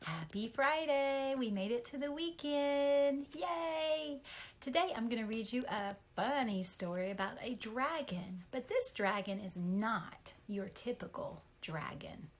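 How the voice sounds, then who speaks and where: Slow, animated woman storyteller. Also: a little high. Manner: deliberate, animated, dramatic emphasis. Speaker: storyteller reading a children's picture book aloud. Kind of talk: expressive read-aloud for young children.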